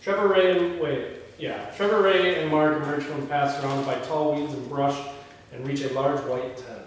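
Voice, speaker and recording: A man's voice: on the high side, steady tone, moderate pace. A man reading script directions aloud at a table read and chatting casually about them. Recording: echoey room, distant mic, faint noise.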